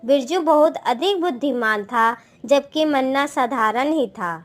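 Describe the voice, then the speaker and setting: A woman's voice: high voice, unhurried. A woman storyteller reading a scripted Hindi moral story as narration. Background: background music.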